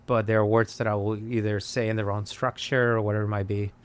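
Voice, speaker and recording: Colombian-accented man, smooth voice. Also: on the low side, fast talker. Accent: Colombian Spanish. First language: Spanish. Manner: animated, laughing, many restarts. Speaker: guest. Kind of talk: casual conversation.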